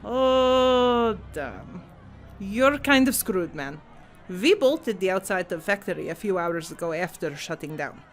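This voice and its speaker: A woman voicing a blunt, excitable alien hog union striker in an audio drama. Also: mid-range voice, unhurried, lightly acted.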